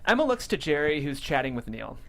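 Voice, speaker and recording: Lively woman reading script directions. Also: low voice, fairly quick. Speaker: stage directions narrator. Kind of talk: scripted narration at a table read.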